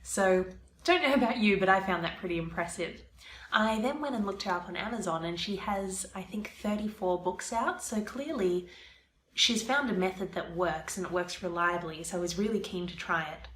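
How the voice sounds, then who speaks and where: A woman's voice: medium pitch, normal speed, a bit bright, roomy sound, slight background noise. A young woman with a stuffy head cold, chatting casually to camera about planning her novel. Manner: chatty, explains her plans step by step. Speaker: vlogger, aspiring fiction author. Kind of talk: casual vlog talk to camera.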